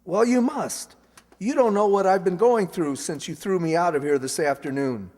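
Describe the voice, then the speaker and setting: An actor playing an earnest, flustered young son in love, emphatic and pleading, in a radio comedy. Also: male voice, high voice, moderate pace, darkish tone. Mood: pleading, tender. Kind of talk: old-time radio comedy acting. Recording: reverberant hall, stage radio play.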